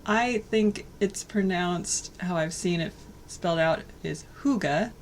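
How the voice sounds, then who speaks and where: An American woman co-hosting a lifestyle vlog, talking in a soft, warm way and laughing often. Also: on the low side, takes it slowly, a little hiss or hum. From United States. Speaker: vlog co-host. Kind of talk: casual cozy chat and sponsor read.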